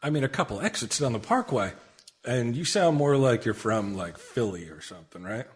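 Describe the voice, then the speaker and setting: The guy at the end of a comedy podcast table who keeps cutting in with quips, middle-pitched and very expressive. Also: normal speed. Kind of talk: wisecracking banter.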